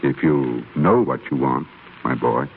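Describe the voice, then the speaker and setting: An actor playing a kindly, earnest older stonecutter in a measured, preaching 1930s radio drama style. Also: male voice, extremely low pitch. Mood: reverent, assured. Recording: muffled scratchy 1930s radio broadcast.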